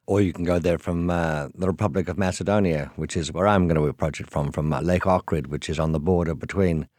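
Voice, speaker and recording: A man guesting on a radio travel show, a tour leader explaining sites in a measured way. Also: low voice, steady tone, darkish tone, no room sound, clean studio sound. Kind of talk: radio interview.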